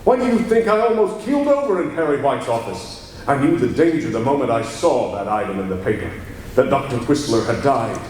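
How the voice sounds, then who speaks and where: A man's voice: mid-range voice, big pitch swings, a little fast, darkish tone, close to an everyday voice. Man acting an anxious, stammering radio-drama hero, echoey hall. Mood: troubled. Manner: stammering, agitated. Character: anxious, evasive reporter hiding a secret hero identity. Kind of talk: 1940s radio serial acting performed live. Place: large live convention hall. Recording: echoey hall, distant mic, faint noise.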